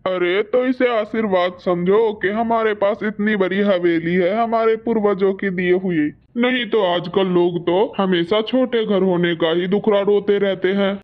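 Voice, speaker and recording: A man's voice: high voice, steady tone, a little slow, hardly any pauses, muffled recording. A man voicing a male pigeon husband and father in a cartoon, admonishing and reassuring. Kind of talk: Hindi cartoon story dubbing.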